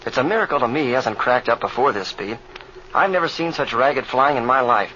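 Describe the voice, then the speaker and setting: An earnest young pilot from an old radio adventure serial. His voice is bright and forceful, with boyish eagerness. The sound is muffled, with faint vintage hiss. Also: medium pitch, lively intonation, a little fast. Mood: earnest concern. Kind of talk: old-time radio drama acting.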